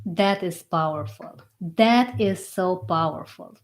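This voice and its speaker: A woman's voice: fairly low pitch, slow pace. A woman hosting a motivational podcast, talking in an encouraging way and repeating her points for emphasis. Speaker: podcast host.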